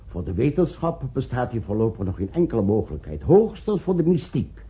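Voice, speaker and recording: A man's voice: mid-range voice, huge pitch swings, fairly quick, warm tone, slight background noise. A man playing a pessimistic senior professor in a radio drama, sardonic and exasperated with his colleagues. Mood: gloomy, sardonic. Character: gloomy, impatient male senior professor, pessimistic about science. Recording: muffled old radio play recording.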